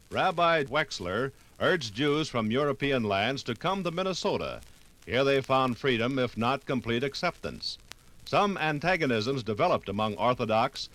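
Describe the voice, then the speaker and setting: Expressive male radio narrator, soft music behind. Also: mid-range voice, poor audio. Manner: formal, measured announcer delivery. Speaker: documentary narrator. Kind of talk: 1950s radio scripted narration.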